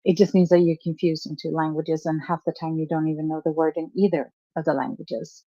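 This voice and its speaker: A woman's voice: deep voice, flat delivery, brisk, mellow, dry recording, lo-fi sound. A woman from Sweden, a native Swedish speaker, co-hosting a casual chat. She gushes and chats freely, with hesitant fillers. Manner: gushing, chatty, with hesitant fillers. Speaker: co-host.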